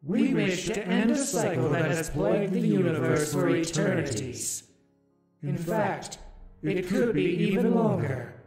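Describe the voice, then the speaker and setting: An ancient, otherworldly ruler from a visual novel, speaks slowly and solemnly with sweeping, theatrical intonation. The grave voice rings with echo over loud music. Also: male voice, high voice, big pitch swings. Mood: resolute, fatalistic. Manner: grave, deliberate, theatrical pronouncements. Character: solemn, ancient otherworldly ruler, calmly justifying terrible deeds. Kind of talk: dramatic visual novel voice acting. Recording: echoing voice under loud music.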